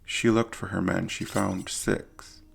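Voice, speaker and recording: A man with a deep, husky, gravelly voice narrating an audio drama in a grave, literary storytelling manner. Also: flat delivery, takes it slowly.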